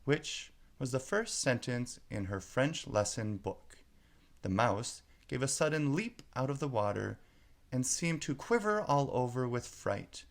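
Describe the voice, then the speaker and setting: A man reading a children's story aloud as narrator, in a measured, expressive way. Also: mid-range voice, vocal fry.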